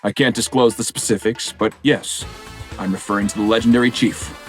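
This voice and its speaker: An actor playing a smooth-talking politician who gives interviews and campaign speeches in a game. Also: male voice, on the low side, steady tone, a little fast, soft background music, lightly acted. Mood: composed. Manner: rousing, oratorical. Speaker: election candidate. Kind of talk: game cutscene voice acting.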